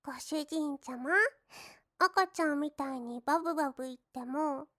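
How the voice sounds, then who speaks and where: A woman's voice: high-pitched, normal speed, fairly bright tone. A woman voicing a young maid who teases her master in sing-song baby talk with lisped endings. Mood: scolding. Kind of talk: roleplay audio drama.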